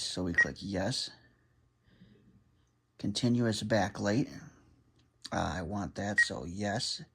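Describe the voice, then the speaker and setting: A man with a fairly low voice talks through setting up a gadget in a casual, unscripted way. He thinks out loud, reading items off one by one and adding his own short comments as he goes, like a hobbyist vlogger. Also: background noise. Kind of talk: casual hands-on unboxing and setup narration.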